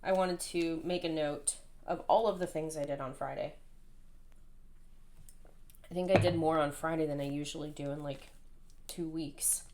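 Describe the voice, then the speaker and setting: A woman in her thirties with a hoarse voice, chatting casually and rambling while she plans. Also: low voice, steady tone, normal speed, long pauses, a little mellow, slight background noise. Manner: chatty, rambling, self-deprecating. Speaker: planner vlogger. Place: at home, indoors.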